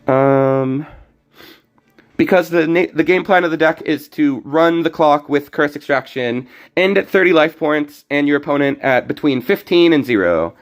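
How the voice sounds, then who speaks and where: A young man streaming a card game, talking casually and rambling with many restarts, his voice slightly hoarse. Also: a little high, moderate pace. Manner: rambling, self-correcting. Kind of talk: casual gameplay commentary.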